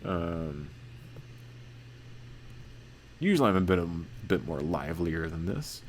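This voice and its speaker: A man teaching forex chart analysis in a casual, monotone way with lots of fillers and restarts. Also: deep voice, moderate pace, slight background noise, low-quality recording. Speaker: forex trading educator.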